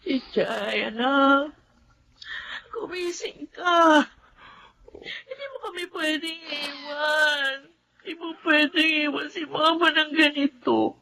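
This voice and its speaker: A woman's voice: sits high, slow pace, creaky, sharp tone, exaggerated voice, rough recording. An actress playing a grieving young mother in denial, in an emotional, pleading radio melodrama style. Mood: sobbing grief. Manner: emotional, wailing, pleading delivery. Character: grieving young mother, desperate, in denial over her child's death. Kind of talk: Filipino radio melodrama acting.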